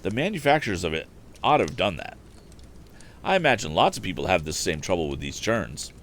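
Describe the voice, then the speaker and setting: A man voicing a modest, handy young inventor in a storybook, brisk and matter-of-fact. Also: deep voice, very animated, a bit bright, a little hiss or hum, heavily acted. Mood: modest, helpful. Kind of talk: storybook character voice.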